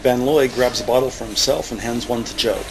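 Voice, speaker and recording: A man's voice: medium pitch, brisk, vocal fry, noisy background. A man reading scripted, present-tense narration for an audio drama as its narrator. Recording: music bed under the voice. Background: music.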